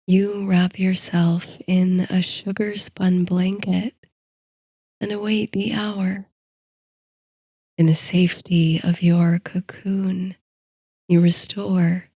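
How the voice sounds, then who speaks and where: Slow, hushed woman narrating softly. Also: on the low side, no room sound. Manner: soothing, hushed, breathy delivery. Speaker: host and narrator of a sleep story series. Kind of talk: soft scripted bedtime story and guided meditation narration.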